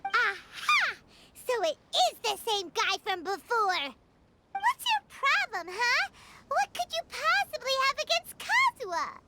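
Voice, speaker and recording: A woman voicing a tiny, excitable female fairy companion in a video game, eager and blunt, full of exclamations and questions. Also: very high voice, measured pace, heavy background noise, heavily acted. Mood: indignant. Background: game music.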